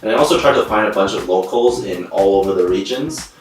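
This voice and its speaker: A man's voice: low-pitched, fast talker, faint music behind. A Canadian man answering interview questions in a plain, earnest way with some fillers. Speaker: co-host and guest player. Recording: echoey room from far off.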